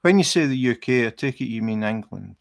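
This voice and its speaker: Scottish man, middle-aged, unhurried rambling chat, dry close sound, gravelly edge. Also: mid-range voice, no room sound. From Scotland. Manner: relaxed, rambling, halting with many fillers and restarts. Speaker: livestream host. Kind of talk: casual chat while crafting, reading viewer comments.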